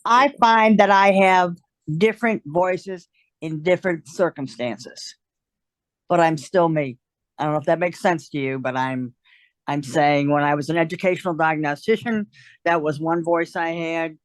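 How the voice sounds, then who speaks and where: A woman's voice: low-pitched, dry recording. Reflective woman on a dry video call. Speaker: workshop participant.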